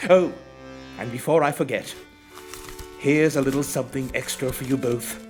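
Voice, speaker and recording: An actor playing a jolly, generous old warehouse master, hearty and avuncular, in theatrical radio play style. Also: male voice, mid-range voice, moderate pace, a little mellow, faint music behind, natural voice. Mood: gentle, confiding.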